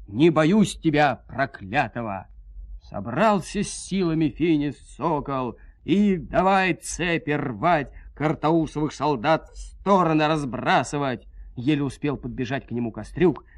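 A man narrating a folk tale in an expressive, folksy storytelling manner. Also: on the high side, no echo.

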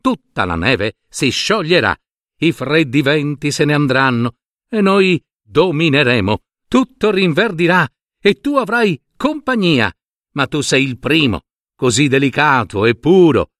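A man's voice: fairly high pitch, sing-song, unhurried, a bit bright, dry recording, studio quality. A man voicing adoring, exultant sunbeams in a storybook tale, with a lilting delivery. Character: adoring, singing sunbeams praising a young flower.

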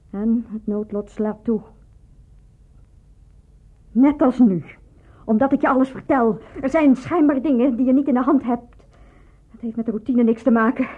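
A wary nurse in her thirties who has been hurt in love, defensive and confessional in a radio play. Her voice sits fairly high and has a husky grain. The old recording is thin and narrow, like a phone line. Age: 30s. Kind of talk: radio drama acting.

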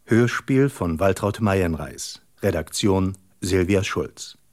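Low, slow male radio announcer. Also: a little mellow. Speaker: radio announcer reading credits. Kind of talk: formal scripted announcement.

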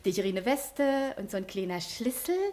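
A woman's voice: medium pitch, normal speed, crowd noise, lightly acted. An actress playing a plain-spoken, sly washerwoman with a Berlin accent and a folksy, mock-humble manner in a classic radio play. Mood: doubting, insistent.

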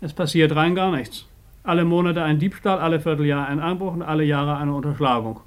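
An actor playing a bored, dismissive office clerk with dry, mocking delivery in a 1950s German radio drama. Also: male voice, fairly high pitch, steady tone, brisk, lightly acted. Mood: scornful, bored.

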